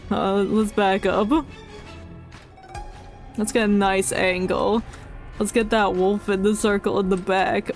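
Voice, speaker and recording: Female game streamer: congested, unhurried delivery, playful mock indignation, faint music behind. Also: mid-range voice. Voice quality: congested, stuffy nose. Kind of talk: casual live game commentary. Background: game audio and music.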